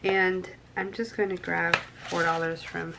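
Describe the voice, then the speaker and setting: A woman's voice: fairly low pitch, level tone, a little slow, vocal fry, very noisy. Stuffy-nosed young woman chatting casually. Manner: chatty, counting cash aloud, many ums. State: stuffy nose from a cold. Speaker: budgeting vlogger. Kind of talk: casual chat to camera.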